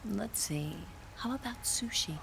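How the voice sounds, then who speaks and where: An actress playing a cool, confident young female spy in a video game, teasing and smooth. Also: a little high, animated, unhurried. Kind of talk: video game cutscene acting. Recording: noisy ambient background.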